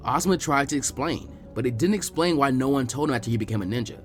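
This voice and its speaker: A man reading fan fiction aloud in an expressive audiobook style. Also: mid-range voice, fast talker, faint music behind.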